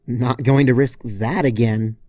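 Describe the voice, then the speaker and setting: A narrator voicing an exasperated young female unicorn pony in a fan story reading, indignant and pleading, given to frustrated shouts and mutters. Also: mid-range voice, fairly even intonation, a little slow, no echo, lightly acted. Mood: irritated muttering.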